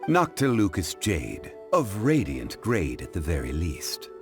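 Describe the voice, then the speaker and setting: An actor playing a calm, refined gentleman connoisseur in a video game, speaking in a formal, measured, lecturing way. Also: sits low, over music, full character voice. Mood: composed. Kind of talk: English-dubbed video game acting.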